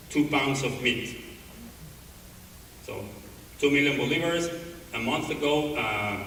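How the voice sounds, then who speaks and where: A man's voice: mid-range voice, a bit bright. A man giving a conference talk, full of fillers and restarts. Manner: hesitant, many fillers and restarts. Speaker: conference speaker. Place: large conference hall. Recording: echoey hall, distant mic, faint noise.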